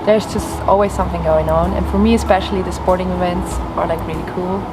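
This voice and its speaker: A woman's voice: lowish voice, steady tone, quick pace. A woman in her twenties from Switzerland, talking casually as an exchange student being interviewed. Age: 20s. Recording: loud hum of ambient noise.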